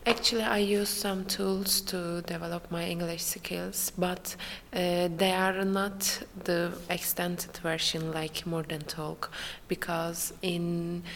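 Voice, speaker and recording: Slow, gravelly young woman from Turkey. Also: lowish voice, a little hiss or hum. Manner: hesitant non-native English with many fillers. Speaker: international student interviewee. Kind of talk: casual interview answers. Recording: hall reverb with faint ambience.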